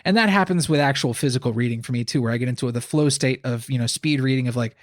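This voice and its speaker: A man speaks as a podcast co-host in a relaxed, analytical book conversation. His pitch is average, and he works through thoughts aloud, doubling back on words. The sound is dry and close. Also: quick pace, no room sound. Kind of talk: casual analytical book discussion.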